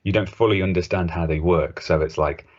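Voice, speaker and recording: A man's voice: low voice, fairly quick, darkish tone. A man in his thirties chatting casually as a podcast co-host, in a loose, hesitant conversational way. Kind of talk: casual chat. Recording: dull lo-fi podcast audio.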